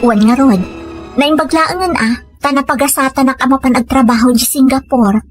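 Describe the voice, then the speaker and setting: A woman's voice: high voice, normal speed, faint music behind, natural voice. A woman with a Filipino accent acting a plain-spoken, emotional woman of a rural family in an audio comedy drama. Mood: hopeful, tender.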